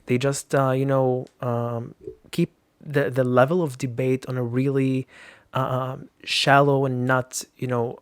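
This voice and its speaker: A man's voice: medium pitch, flat delivery, measured pace, smooth, no echo. A man from Lebanon, a podcast guest, speaking in a hesitant way with many fillers. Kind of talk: casual interview talk.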